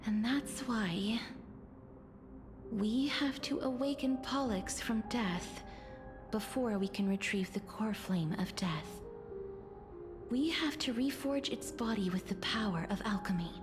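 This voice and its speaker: A gentle young woman of a fantasy game, solemn and tender. Her voice is fairly high, soft and breathy, with measured, formal phrasing. Clear music plays underneath. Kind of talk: video game story dialogue.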